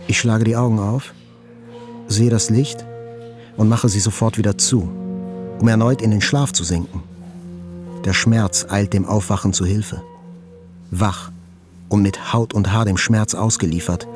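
A wounded journalist narrating his memories in a radio drama. The voice sits low and crackles with fry. The reading is reflective and literary, with faint music behind it. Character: wounded male journalist recalling his hospital ordeal, reflective. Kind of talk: scripted first-person literary narration.

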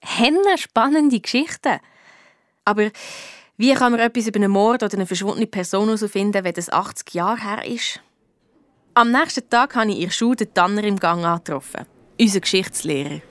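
A woman's voice: mid-range voice, normal speed, a bit bright, no room sound, lightly acted. A woman playing a curious, cheeky schoolgirl detective with a Swiss accent, talking colloquially and thinking aloud in a children's radio play. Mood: pondering. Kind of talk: children's radio detective play, first-person narration and dialogue.

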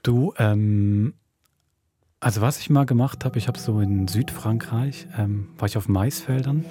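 A man's voice: lowish voice, unhurried, warm tone, husky. A man hosting a podcast in casual, chatty conversation. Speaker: podcast host and producer. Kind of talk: casual chat.

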